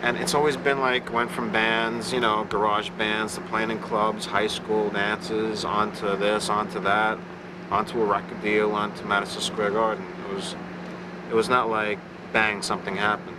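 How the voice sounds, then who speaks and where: A man's voice: on the low side, little pitch movement, normal speed, heavy background noise. A young man, a rock guitarist, telling in a casual interview how he started playing, in plain storytelling with restarts.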